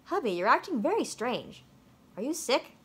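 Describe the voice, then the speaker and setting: A woman's voice: sits high, very animated, normal speed, fairly bright tone, husky, pitched above the performer's own voice, heavily acted. A woman voicing a concerned, encouraging mother in a children's storybook, speaking emphatically. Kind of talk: children's storybook read-aloud.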